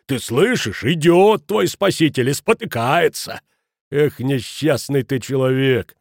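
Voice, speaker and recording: A man's voice: a little high, moderate pace, darkish tone, no echo, clean studio sound, close to an everyday voice. A man voicing a bitter, indignant man robbed of his savings, reproachful and shaky, in an audiobook. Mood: mocking.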